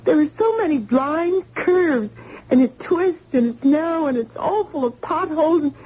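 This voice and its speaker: A woman plays a scared young wife in a vintage radio play, high pleading voice, mellow tone, dull old recording. the sound is muffled with a faint hiss behind it. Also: lively intonation, moderate pace. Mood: anxious. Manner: anxious, pleading, tearful. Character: frightened young wife of injured plumber. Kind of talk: old-time radio drama acting.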